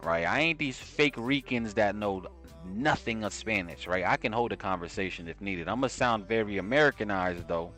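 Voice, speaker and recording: Low-voiced slangy New York man. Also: animated, normal speed, a bit bright, soft background music. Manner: slangy and conversational, often tags "right?". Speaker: live-stream trading host. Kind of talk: casual live-stream chat with viewers.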